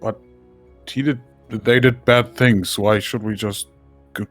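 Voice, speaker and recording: A man's voice: on the low side, fairly even intonation, takes it slowly, soft background music. A man playing a tabletop game in character, talking in a blunt, indignant way. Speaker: player.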